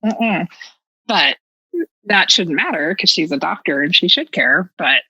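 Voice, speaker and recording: A woman's voice: on the low side, lively intonation, unhurried, sharp tone, breathy edge, no room sound, poor audio. A middle-aged American woman telling personal stories as a podcast guest, casual and candid, with a sarcastic, self-mocking streak and a habit of acting out dialogue.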